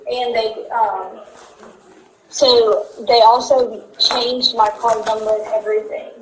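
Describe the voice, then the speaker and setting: An American woman in a casual phone conversation. Her voice is fairly high, and it comes through with clear echo, as if played on a speakerphone. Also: steady tone, takes it slowly. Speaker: cardholder reporting fraud. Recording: echoey speakerphone call.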